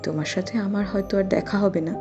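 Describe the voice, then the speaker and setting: A woman voicing a kind elderly aunt in an audio drama. She speaks a soft, emotional goodbye over background music. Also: medium pitch, fairly even intonation, fast talker, dull audio, close to an everyday voice. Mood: tender, sorrowful. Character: kind elderly aunt saying a tearful farewell. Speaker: voice actor in a Bengali audio story.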